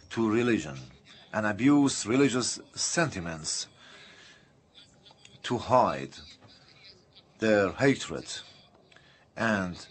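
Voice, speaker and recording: Guy doing live interpreting of a political speech, slow and choppy, pitch moves around a lot. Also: breathy edge. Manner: halting, broken phrasing with uneven bursts.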